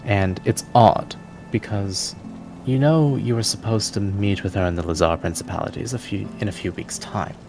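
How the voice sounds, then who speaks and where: A man narrating a tabletop roleplaying game as game master, with measured, evocative storytelling. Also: on the low side, a little mellow. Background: music.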